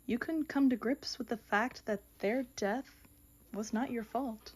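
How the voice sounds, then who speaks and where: A woman playing an all-knowing, solemn female reaper in an audio mystery drama, with measured, oracular delivery. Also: on the high side, slightly rough. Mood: solemn, consoling.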